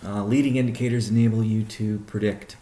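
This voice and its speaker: A man speaking as a company chief executive on a conference call, answering questions in a measured, deliberate, halting way. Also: fairly low pitch, fairly even intonation, mellow, echoey room.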